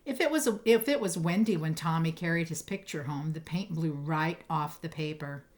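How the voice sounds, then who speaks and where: Woman reading a children's storybook, lowish voice. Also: moderate pace, a little mellow. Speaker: storybook reader. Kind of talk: reading a children's picture book aloud.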